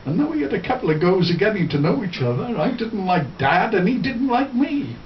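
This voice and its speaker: Roomy distant recording, man, theatrical poetry reading, deliberate phrasing, swooping intonation. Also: sits high, very animated. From Wales. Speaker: poet. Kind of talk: live poetry reading with spoken introduction. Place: live reading in a bar. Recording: roomy, distant, muffled, faint noise.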